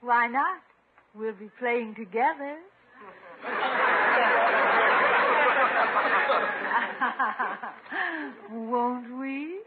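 A woman's voice: on the high side, narrow, tinny audio, over a noisy crowd, very noisy. An actress playing a coaxing, playful lady friend in a 1950s radio comedy, with a cooing, flirtatious manner. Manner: cooing, drawn-out, coquettish. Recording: thin, band-limited 1950s radio.

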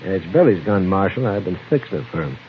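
Phone-thin, hissy old radio recording of an anxious, obliging frontier gunsmith. His American voice is lowish, folksy and respectful. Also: very animated, quick pace, muffled recording. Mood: obliging, uneasy. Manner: deferential, folksy. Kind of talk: old-time radio western acting.

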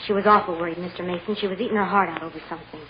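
A woman's voice: on the low side, steady tone, very fast, lightly acted. A woman acting a plain-spoken hotel chambermaid as a witness in an old radio drama, earnest, deferential and a little hesitant. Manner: deferential, hesitant, simple folksy wording. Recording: muffled, noisy old radio recording.

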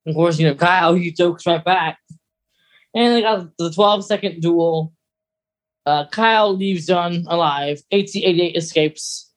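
A podcast co-host with a mid-pitched voice and a very unhurried pace. The delivery is casual and halting, rambling through a recap as in a relaxed chat between co-hosts.

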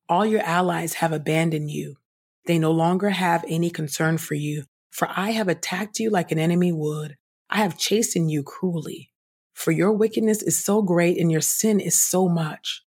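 A woman reading scripture aloud as a podcast host, in a measured, declarative way. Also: lowish voice, darkish tone, husky.

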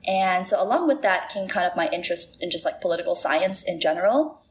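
A woman's voice: on the low side, animated, a little fast. A woman in her early twenties, raised in Shanghai and Singapore, talks through her story in a loose, conversational way. She fills her sentences with "like" and "um" and circles back as she goes. A faint noise sits under the recording. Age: 20s. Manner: chatty, says "like" often, many fillers. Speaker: interview guest. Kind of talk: casual interview chat.

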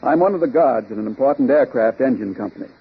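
A man's voice: medium pitch, animated, fast talker, breathy edge. An actor playing an earnest working-man guard giving a testimonial in an old radio advertisement. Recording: dull old radio recording.

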